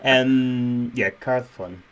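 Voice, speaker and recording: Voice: mid-range voice, very slow, lo-fi sound, slight background noise. A podcast co-host chatting casually, exclaiming and reacting in a giggly, gossipy way. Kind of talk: casual chat, reacting to the main narrator.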